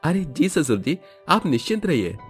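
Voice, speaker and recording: A man's voice: fairly high pitch, very animated, moderate pace, faint music behind, pitched above the performer's own voice. A man voicing a young husband in a raised, eager voice. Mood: polite. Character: young husband, sweet then demanding.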